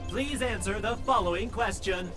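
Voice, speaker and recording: Polite game robot, male man acting: very high pitch, formal procedural delivery, no emotion, music behind. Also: normal speed, natural voice. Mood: neutral, procedural.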